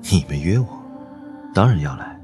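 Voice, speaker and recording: A suave, guarded businessman in an audio drama. His low voice takes its time, moving expressively over background music. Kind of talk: Chinese audio drama acting. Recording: music behind the voice.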